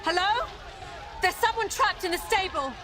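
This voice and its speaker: A woman's voice: very high voice, normal speed, music in the background, crowd noise. A woman voicing a headstrong, curious young princess in an audio adventure game. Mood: urgent. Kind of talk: dramatic audio game acting.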